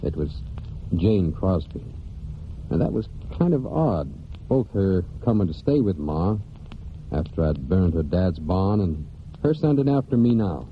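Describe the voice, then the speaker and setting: An American actor playing a disgraced, brooding prodigal son who narrates in halting, clipped phrases in a 1940s radio drama. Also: male voice, sits low, big pitch swings, a little hiss or hum. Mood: wistful, bitter. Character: disgraced prodigal son of a hard New England family, brooding. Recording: dull 1949 radio recording, music behind.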